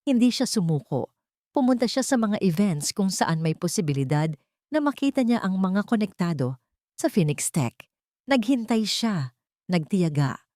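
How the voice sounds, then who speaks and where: A woman's voice: medium pitch, big pitch swings, normal speed, dark tone, no echo, studio quality. A woman reading a scripted, dramatic story as narrator.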